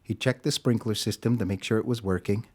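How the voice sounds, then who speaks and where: Low-voiced male story narrator. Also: fairly even intonation, a little fast, breathy edge.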